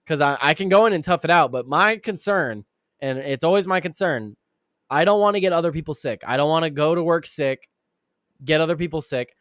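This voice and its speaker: Raspy guy, kinda sick, rambling live stream host, dry close mic. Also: a little fast, a bit bright, no room sound. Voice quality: raspy, deeper than usual. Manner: rambling, many restarts and fillers. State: sick, clearing throat and coughing. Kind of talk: casual live-stream commentary.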